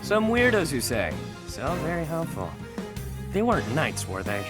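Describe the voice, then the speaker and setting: Sly, animated young gang boss. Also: male voice, on the high side, normal speed, over music. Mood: sardonic. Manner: wry, smooth, sardonic. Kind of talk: video game voice acting.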